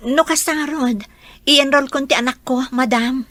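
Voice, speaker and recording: An actress plays a devoted mother working as a housemaid in a radio drama. Her voice sits fairly high in a dry recording. She speaks politely and deferentially, with some hesitation. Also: fairly even intonation, normal speed, a bit bright, dry recording. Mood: deferential, hesitant. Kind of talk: radio drama acting in dialogue.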